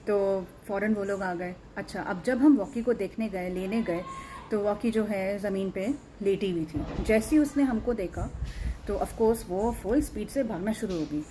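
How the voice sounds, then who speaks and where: Earnest Pakistani woman vlogger, mellow voice. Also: mid-range voice, low-quality recording. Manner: earnest, emphatic, repeats phrases, mixes in English words. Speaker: animal rescuer and vlogger. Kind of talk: casual vlog storytelling and advice to viewers. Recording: muted tone with background noise. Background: ambient background noise.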